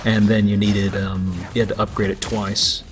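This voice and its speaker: A man giving casual video game commentary as he plays, with halting, hesitant phrasing. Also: fairly low pitch, flat delivery, background noise. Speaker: let's player. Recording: game music behind the voice. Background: video game music.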